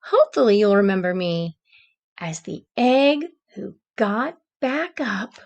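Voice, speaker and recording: A woman voicing a gentle, anxious but persevering egg who narrates a picture book, with deliberate, expressive pauses. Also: medium pitch, gravelly, dull audio. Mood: quietly proud. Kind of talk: picture book read-aloud.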